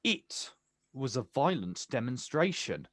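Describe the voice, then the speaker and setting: An actor playing a bitter, protective older brother, confrontational and incredulous, in a naturalistic stage drama. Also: male voice, medium pitch, very animated, slow, a bit bright, no echo, studio quality, exaggerated voice. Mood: incredulous, accusing. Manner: confrontational, incredulous, clipped questions.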